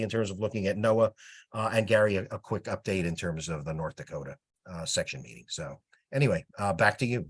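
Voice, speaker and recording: A man giving an informal, rambling update as a volunteer coordinator on a conference call. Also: lowish voice. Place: remote teleconference.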